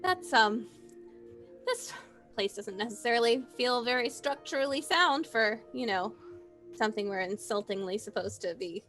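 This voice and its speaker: Higher-pitched woman roleplaying with measured phrasing. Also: lively intonation. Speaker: tabletop roleplaying player. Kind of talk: in-character tabletop play. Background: soft background music.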